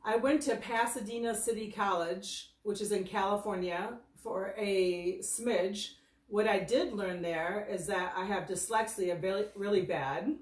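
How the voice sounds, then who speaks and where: A woman in her fifties with an American accent speaks at a middling pitch. She tells personal stories in a loose, rambling, self-deprecating way, as if on a casual livestream. The sound is roomy and a little distant from the microphone. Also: unhurried. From Michigan, United States. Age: 50s. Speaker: host of her birthday livestream. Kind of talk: casual livestream storytelling and question answering. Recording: roomy echo, distant from mic.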